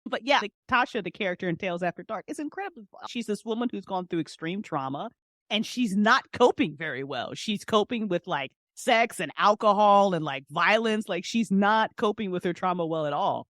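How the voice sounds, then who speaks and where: A woman's voice: mid-range voice, lively intonation, a little fast, fairly bright tone, dry recording, studio quality. A woman chatting casually as an interview guest, effusive and emphatic, with slangy, repetitive turns of phrase. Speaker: guest, fiction podcast writer and creator. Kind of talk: animated casual interview chat.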